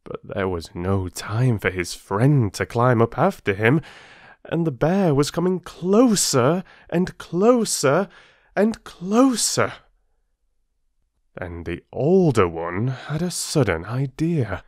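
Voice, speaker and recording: A man working as a storyteller and host, speaking with measured, deliberate phrasing as if reading to listeners. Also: medium pitch, very animated, a little mellow, no room sound, studio quality. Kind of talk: expressive storybook narration and listener address.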